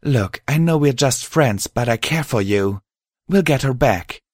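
A caring alicorn mare acted out by a man from a fantasy story, pitched well above his normal speech. The delivery is earnest and pleading, with a close, dry sound. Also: expressive, a little slow, dry recording.

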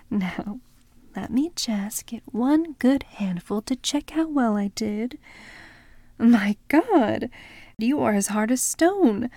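A woman speaking in a soft, breathy whisper for an ASMR roleplay, teasing and commanding. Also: mid-range voice, dry recording.

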